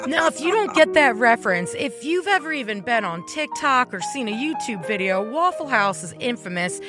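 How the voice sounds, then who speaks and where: High-voiced woman radio host telling stories. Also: moderate pace. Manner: animated, conversational, acts out dialogue. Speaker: radio morning show host. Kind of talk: comic anecdote storytelling. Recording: music bed under the voice. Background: music.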